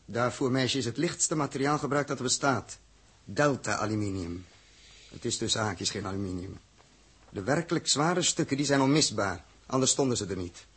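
An actor playing a stern, rational spaceship commander in a 1960s radio drama, speaking deliberately and with authority. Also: male voice, medium pitch, fairly bright tone, breathy edge. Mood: matter-of-fact.

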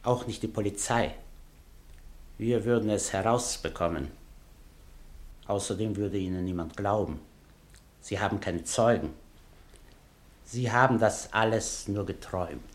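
A man's voice: on the low side, a little slow, pauses often, lightly acted. An actor playing a blunt, threatening male henchman in a radio play, speaking curtly. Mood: menacing. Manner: curt, threatening. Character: blunt, menacing male henchman named Leo.

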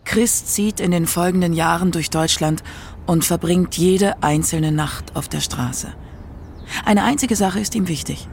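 A man narrates a radio documentary from a script. His voice sits a little high and has a marked gravelly fry, with faint noise in the background. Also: unhurried.

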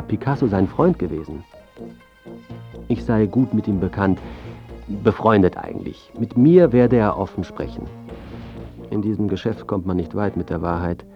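A man's voice: lowish voice, muffled recording, natural voice. An actor playing a smug, calculating journalist in his thirties, narrating and talking with dry self-importance in a radio drama. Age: 30s. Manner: self-important, smooth-tongued, dryly cynical. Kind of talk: first-person narration and dialogue in radio drama.

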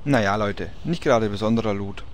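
A man's voice: fairly low pitch, a little fast, a little hiss or hum, close to an everyday voice. A man playing a laid-back raid leader in a parody audio play, giving orders in colloquial gamer talk. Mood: unimpressed. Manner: matter-of-fact, colloquial.

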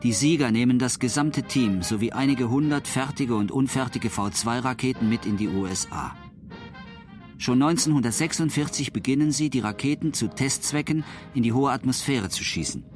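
A male narrator with a medium-pitched voice reads a scripted documentary text. Faint music plays behind him. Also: moderate pace.

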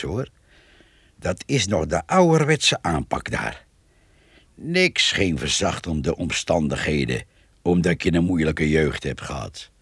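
A gloating country poacher in a radio drama. He grumbles scornfully in a low, slightly husky voice with big swings in pitch. The sound is dry and close. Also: no echo, heavily acted.

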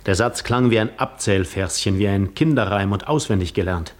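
An actor playing a brooding male wanderer in a 1950s German radio drama, gravely recounting a painful farewell in measured first person. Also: on the low side, close to an everyday voice. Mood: anguished, resigned.

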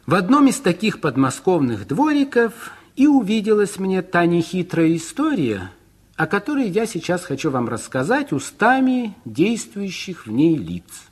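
Radio-play storyteller, musing, high husky voice, lively swoops, dramatic pauses. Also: male voice, exaggerated voice. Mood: unhurried storytelling. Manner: expressive, dramatic pauses, exclaiming. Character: male storyteller, musing and fretting over growing children. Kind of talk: theatrical storytelling narration.

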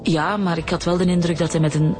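An actress playing a shaken, evasive wife who recounts her abduction haltingly in a Flemish accent, in a radio drama. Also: fairly low pitch, fairly even intonation, natural voice. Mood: shaken, hesitant. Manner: hesitant, halting, repeating words. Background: music.

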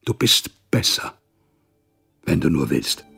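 A man's voice: very deep voice, big pitch swings, takes it slowly, creaky, a bit bright, breathy edge, soft background music, exaggerated voice. A man acting a strict, ambitious father and court official in a German radio drama, pompous, cajoling and demanding. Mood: nagging, demanding.

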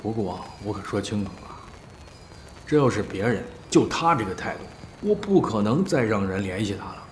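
Proud, indulgent tycoon elder, middle-aged, lowish voice, measured authority, roomy sound with background noise. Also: male voice, very animated. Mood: measured, disapproving. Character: wealthy older male business boss, proud, indulgent elder. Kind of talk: modern Chinese audio drama acting.

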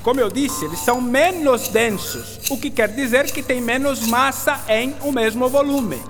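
A woman's voice: sits high, moderate pace. A woman playing a patient, precise and didactic explainer of science in a radio drama. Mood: patient, explaining. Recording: reverberant sound with music behind.

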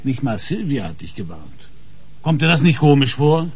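Tough cop interrogator, bit husky, mocking and pushy, radio crime play. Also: male voice, medium pitch, very animated, moderate pace. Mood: aggressive, insistent. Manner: pressing, taunting, mocking. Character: hard, sarcastic police inspector grilling suspects. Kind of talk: German radio crime drama acting.

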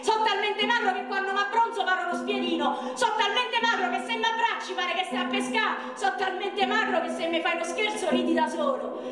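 A woman's voice: very high-pitched, steady tone, fairly quick, few pauses. A woman on stage playing a very skinny, self-mocking young man from Rome, telling jokes in a declamatory, repetitive way with a Roman Italian accent. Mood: escalating, boastful self-mockery. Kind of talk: theatrical stage monologue. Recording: echoey stage recording from far off, noisy.

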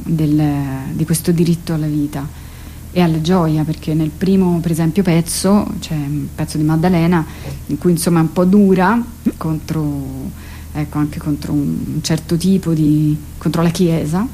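A woman's voice: deep voice, steady tone, normal speed, dark tone, a little hiss or hum. A woman speaking reflectively as a theatre artist in a radio interview, hesitant and searching for her words. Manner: hesitant, searching, thinking aloud. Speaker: guest, theatre artist and author.

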